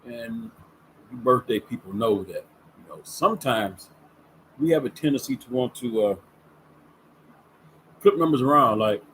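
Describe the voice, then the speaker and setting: A man's voice: medium pitch, unhurried, pauses often, fairly bright tone, slight background noise, low-quality recording. A man in his fifties hosting a casual sports talk show, chatty and folksy, leaning on words for emphasis. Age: 50s. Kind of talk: casual sports commentary vlog. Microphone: camera setup with ring light.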